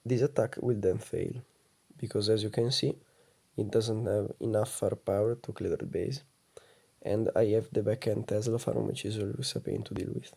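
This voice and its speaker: A man with a low, soft voice giving calm, methodical gameplay commentary as a voice-over. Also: moderate pace, creaky, breathy edge. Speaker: gaming channel host.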